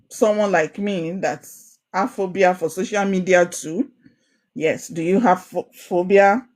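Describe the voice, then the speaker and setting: A young Nigerian woman with a proud Ijesha accent leads an online coaching session for a women's group. She speaks in an animated, encouraging way and keeps repeating key phrases for emphasis. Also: lowish voice. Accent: Nigerian, Ijesha Yoruba. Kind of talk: online course talk.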